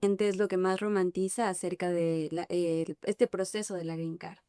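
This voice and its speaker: A woman's voice: lowish voice, little pitch movement, moderate pace, mellow, smooth, no echo, clean studio sound. A woman hosting a podcast interview, asking friendly questions to prompt her guest. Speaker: podcast host.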